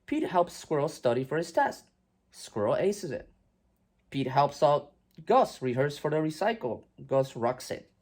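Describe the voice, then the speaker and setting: A woman reading a children's picture book aloud in an animated, expressive way with emphatic swings and hushed drops. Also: sits low, unhurried.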